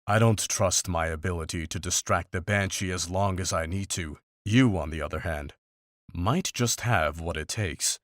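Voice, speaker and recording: A man playing a stern, pragmatic older monster hunter in a fantasy visual novel, speaking in a formal, measured, unsentimental way. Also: sits low, no echo, clean studio sound, close to an everyday voice. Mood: calm, firm.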